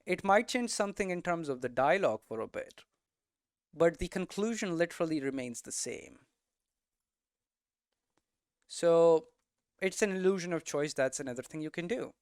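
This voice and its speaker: A man with a smooth, clear voice pitched slightly high gives an informal explainer. He thinks aloud in a conversational way, tagging his points with little check-ins, and the sound is close and dry. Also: lively intonation, normal speed, long pauses, no room sound. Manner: conversational, thinking aloud, frequent "you know" and "right?" tags. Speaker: game development streamer and teacher.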